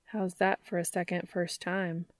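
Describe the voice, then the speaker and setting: Woman as an eager boyfriend, audiobook romance, low pitch, gentle murmur, a bit awkward. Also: flat delivery, unhurried, no room sound, lightly acted. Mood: shy. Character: eager, tender, slightly awkward man, a lover in a romance. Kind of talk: audiobook character voice.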